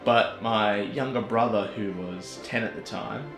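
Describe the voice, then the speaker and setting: A young Australian man chatting casually as a filmmaker, self-deprecating and quick to laugh. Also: lowish voice. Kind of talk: casual vlog narration. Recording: echoey room with music under it.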